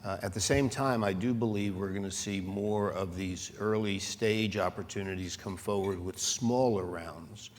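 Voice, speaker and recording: A man who is a venture investor, speaking on a conference panel in a measured, steady way. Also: fairly low pitch. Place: conference hall. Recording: reverberant hall with faint noise.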